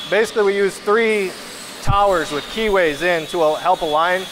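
A man's voice: high-pitched, normal speed. A man explaining a step-by-step process in a weld shop, his plain explanation recorded amid shop noise. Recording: noisy shop floor.

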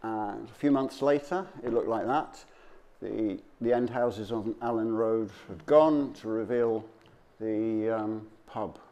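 A man speaking as a local history presenter, giving an informal slide talk to an audience in a halting, conversational, off-the-cuff way. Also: on the low side, dark tone.

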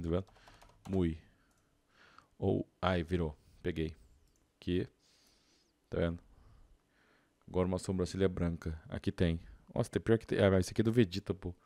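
A man's voice: low-pitched, brisk, pauses often, dark tone, no echo. A young man with a Brazilian accent giving casual gameplay commentary, informal and slangy, thinking aloud. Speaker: gaming video host.

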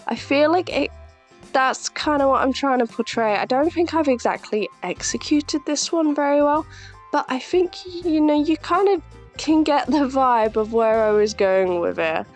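A young woman with a slightly croaky voice from a sore throat, chatting casually as she narrates a voiceover for her art video and laughing often. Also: on the high side. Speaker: art channel host.

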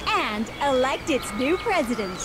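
An actress playing a theatrical, effusive female TV news reporter in a game cutscene, with drawn-out, expressive delivery. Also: high-pitched, background noise. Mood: polished, solemn. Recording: loud music over the voice.

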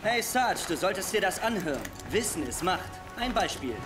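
An actor playing a nervy, know-it-all young soldier in a comedic military game, wordy and animated. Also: male voice, high-pitched, moderate pace, music in the background. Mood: excited.

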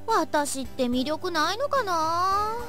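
An actress playing an expressive anime high school girl in a visual novel game. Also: measured pace. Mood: playful, frank. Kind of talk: Japanese visual novel voice acting. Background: game music.

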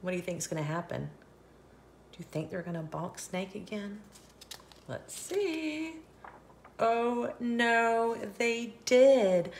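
A woman reading a picture book aloud for children, expressive, with questioning asides to young listeners. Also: mid-range voice, slow, pauses often, a little hiss or hum. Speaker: children's story reader and host.

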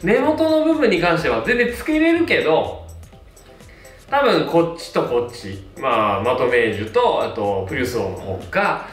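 A man in his thirties, a hairstylist, casually reviewing hair products with a relaxed, filler-heavy manner. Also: a little high, normal speed, far from the mic. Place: small plain indoor studio. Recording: roomy sound, mic set back, faint music.

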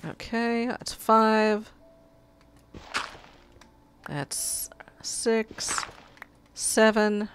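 A woman's voice: a little high, completely flat delivery, very slow, long pauses, a little hiss or hum. A woman with a cold playing a video game, reading the quest text aloud and swearing at her mishaps as she plays. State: has a cold, sucking a cough drop. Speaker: let's play gamer. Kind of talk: casual gameplay commentary.

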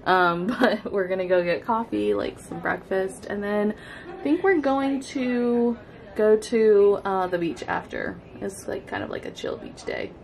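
A woman's voice: mid-range voice, a little slow, creaky, noisy background. A young woman in her twenties, chatting upbeat to a handheld camera as a vlogger, with lots of likes and ums. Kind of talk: casual vlog chat to camera. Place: hotel room, cafe and thrift store. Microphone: handheld camera mic.

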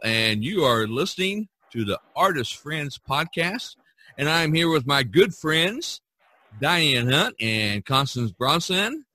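Husky American man hosting a podcast. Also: on the high side, bright, forceful tone, dry recording. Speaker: podcast host. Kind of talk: enthusiastic podcast chat.

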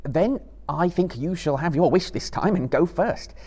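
Gentlemanly hobbit hero, male reader performing: earnest, resolute, well-spoken, lively husky tone for fantasy storytelling. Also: a little high, measured pace, pitched above the performer's own voice, heavily acted. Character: earnest, determined gentlemanly hobbit adventurer, thoughtful.

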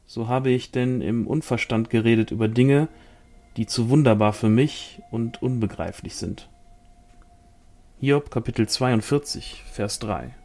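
A man's voice: medium pitch, unhurried, warm tone. A man reading scripted audiobook titles and quotations as an announcer. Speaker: audiobook reader.

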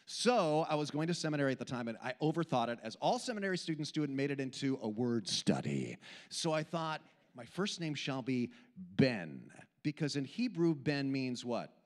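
An older man from Switzerland, Swiss German his first language, giving a humorous sermon with animated, self-deprecating storytelling into a handheld mic on an indoor stage. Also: medium pitch, normal speed. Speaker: camp speaker. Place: indoor hall stage with audience. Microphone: handheld microphone. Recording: long hall reverb.

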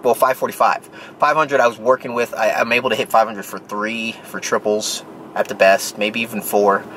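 Man, 30s, bright voice, casual and rambling, in a car, faint background hum. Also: fairly low pitch, fairly even intonation, normal speed. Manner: plain, rambling, many fillers and restarts. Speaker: vlogger, powerlifter. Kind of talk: casual talk to camera. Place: parked car. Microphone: handheld camera close to face.

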